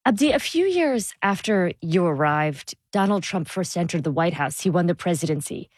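A woman hosting an interview, asking measured, probing questions. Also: on the low side, lively intonation, no room sound. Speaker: interview host.

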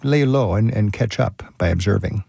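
A man's voice: on the low side, normal speed, creaky, dark tone, breathy edge, dry recording. A man hosting a radio travel show, interviewing a guest in a casual, curious way and reading out topics one by one.

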